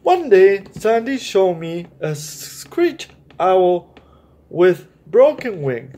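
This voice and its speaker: Male children's storytime host: notably high voice, unhurried read-aloud delivery, speaking straight to kids. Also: very animated, dark tone. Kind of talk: animated read-aloud of a picture book with explanatory asides.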